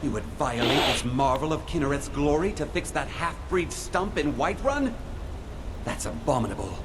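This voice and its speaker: A man's voice: on the high side, sing-song, normal speed. A man playing a devout, easily outraged pilgrim in a fantasy video game, speaking in a solemn, formal, dramatic way. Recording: clearly noisy game ambience.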